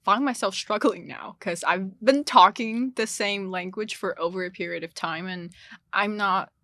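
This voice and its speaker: A woman's voice: medium pitch, normal speed, pushed and bright, no room sound. A young woman from China with a slight Chinese accent, a native Chinese speaker chatting casually as a podcast guest, laughing often and restarting her phrases. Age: young adult. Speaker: guest, international student. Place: small studio.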